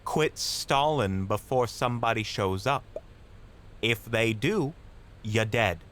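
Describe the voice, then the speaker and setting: A man's voice: mid-range voice, expressive, slow pace, a bit bright. A man playing a young mugger in an audio drama, cocky, mock-polite and menacing. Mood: threatening. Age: young adult.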